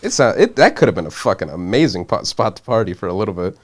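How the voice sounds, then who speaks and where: Laid-back swearing man, lowish voice. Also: big pitch swings, quick pace. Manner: swears often, heavy use of "like". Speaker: podcast co-host. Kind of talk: casual chat.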